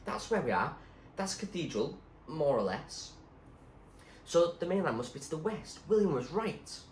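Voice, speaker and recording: A man's voice: a little high, very animated, measured pace, pitched above the performer's own voice. A man voicing a plain-spoken, eager young boy castaway in a storybook, with a blunt, earnest way of talking. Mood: confident. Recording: roomy echo, faint background noise.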